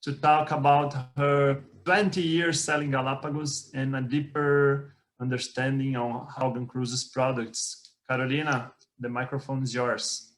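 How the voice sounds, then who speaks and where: A man's voice: mid-range voice, flat delivery, a little slow, hardly any pauses. A man hosting a webinar, formally introducing the next speaker. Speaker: webinar host.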